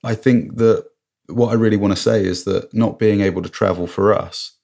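A man co-hosting a podcast over a remote call, talking earnestly and reflectively, with sudden leaps up into a high-pitched exclamation. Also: fairly even intonation, moderate pace, vocal fry, darkish tone. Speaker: podcast co-host. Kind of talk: casual chat.

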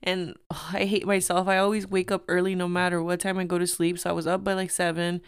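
A young woman hosting her own podcast, slightly hoarse and tired, chatting casually and telling a story with lots of "like". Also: fairly low pitch, flat delivery, fast, no echo, clean studio sound. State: tired from lack of sleep. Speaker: podcast host. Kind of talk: casual solo podcast chat.

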